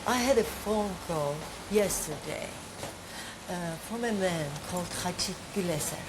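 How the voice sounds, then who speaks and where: A woman's voice: fairly low pitch, a little slow, noisy background. A woman bringing good news, speaking eagerly and repeating herself.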